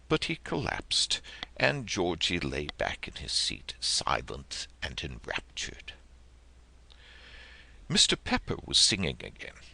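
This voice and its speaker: Male narrator, low pitch, widely swooping intonation, gravelly edge, literary audiobook reading, dramatic delivery. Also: sharp tone.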